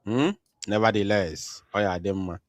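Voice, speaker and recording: A man's voice: lowish voice, steady tone, moderate pace, dry recording. A man hosting a live online talk show over an internet connection, speaking earnestly, repeating his points and hesitating often. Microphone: computer mic over internet call.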